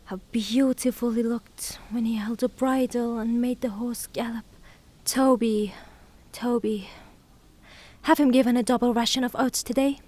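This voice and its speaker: Woman playing a high-voiced, melodramatic young widow. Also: fairly even intonation, a little slow, a little mellow. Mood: wistful. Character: grieving young widow, proud, stubbornly faithful, melodramatic. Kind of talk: theatrical audio drama acting.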